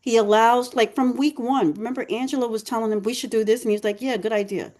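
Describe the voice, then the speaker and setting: A woman co-hosting a podcast in a casual, rambling chat, her voice going hoarse as she keeps clearing her throat. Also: a little high, steady tone, quick pace, darkish tone. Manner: chatty, rambling, many fillers. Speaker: podcast co-host.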